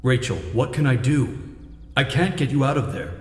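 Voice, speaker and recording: A man's voice: medium pitch, animated, normal speed, a little mellow, far from the mic, soft background music, pitched above the performer's own voice. A man playing a loyal, helpless teenage boy in an audiobook, urgent and distressed, with an echoing telepathic effect. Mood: desperate. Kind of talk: dramatised audiobook dialogue.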